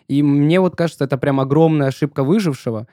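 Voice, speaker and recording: Young male co-host, early 20s, mellow tone, reflective and halting delivery. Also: on the high side, no echo, studio quality. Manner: reflective, hesitant, thinks aloud. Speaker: podcast co-host. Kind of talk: casual podcast chat.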